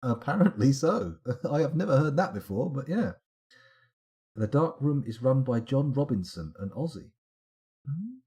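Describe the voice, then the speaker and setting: A man's voice: mid-range voice, very animated, unhurried, mellow. A man, chatting and rambling while he streams a game late at night and answers his chat, sounding tired. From England. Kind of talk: casual live-stream chat.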